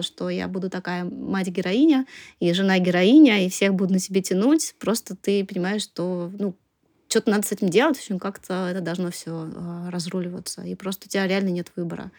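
A woman's voice: medium pitch, a little fast, darkish tone, no room sound, studio quality. A woman in her thirties speaking as a podcast guest in a casual interview, informal and hedging as she thinks aloud. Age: 30s.

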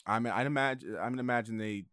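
A man co-hosting a podcast, chatting casually in an animated way and tripping over his words. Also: mid-range voice, quick pace, no room sound, studio quality. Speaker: podcast co-host. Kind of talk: casual chat.

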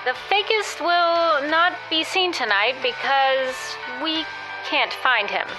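A woman's voice: sits high, slow, rough recording. A woman playing a frantic, pleading television announcer in a comedy audio drama. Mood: urgent. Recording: music behind the voice.

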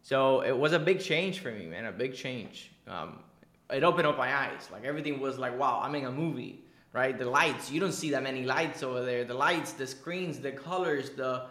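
A man's voice: medium pitch, moderate pace, pushed and bright, echoey room. A young man from Cuba, a podcast guest, talking casually and animatedly, repeating words for emphasis. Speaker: podcast guest, entrepreneur and coach. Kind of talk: casual interview conversation.

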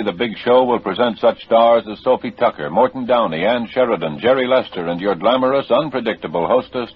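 A man reading a 1950s radio network promo as announcer, with a husky voice. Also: lowish voice. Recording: dull vintage radio recording.

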